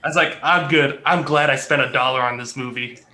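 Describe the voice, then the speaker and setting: A man's voice: medium pitch, fairly even intonation, fairly quick, sharp tone. A man in a casual group discussion, talking as a panelist in a blunt, joking way. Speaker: podcast panelist.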